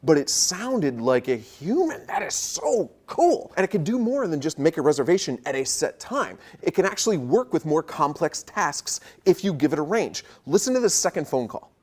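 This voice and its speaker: A tech video host with a medium-pitched voice talks in an excited, colloquial way. The delivery is emphatic, enthusiastic commentary addressed straight to viewers. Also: normal speed, hardly any pauses, slight background noise.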